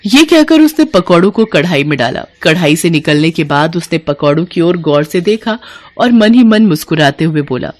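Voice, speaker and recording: A woman's voice: fairly low pitch, very animated, moderate pace, no room sound. A woman reading scripted narration as the storyteller of a cartoon moral tale.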